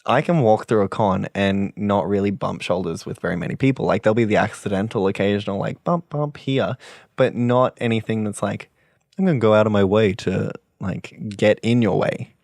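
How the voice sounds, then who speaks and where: A young man with a very deep voice, co-hosting a podcast and talking passionately with lots of fillers. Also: normal speed, gravelly, darkish tone, dry recording, clean studio sound. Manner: passionate, rambling, many fillers. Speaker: podcast co-host. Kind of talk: casual podcast chat.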